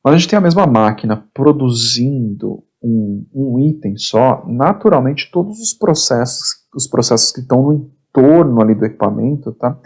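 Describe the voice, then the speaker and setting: A man, getting hoarse, teaches an online course lecture in short phrases and keeps checking in with the listener. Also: medium pitch, animated, moderate pace, hardly any pauses, darkish tone. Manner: explains in short phrases, constant "tá?" check-ins, repeats words. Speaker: instructor.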